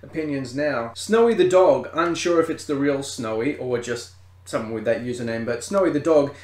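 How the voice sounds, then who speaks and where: A man's voice: medium pitch, moderate pace, a little mellow. A man hosting a podcast, reading scripted commentary and then chatting casually through listener comments. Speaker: podcast host.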